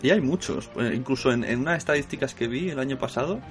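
A man's voice: mid-range voice, fast talker, faint music behind. A man from Spain hosting a casual podcast round-table, laughing often and making joking asides. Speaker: podcast host.

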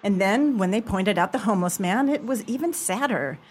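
A woman playing an indignant, sensitive, sharp-tongued young woman in a radio play, with theatrical, emphatic delivery. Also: mid-range voice, lively intonation, fairly quick, close to an everyday voice. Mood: defensive.

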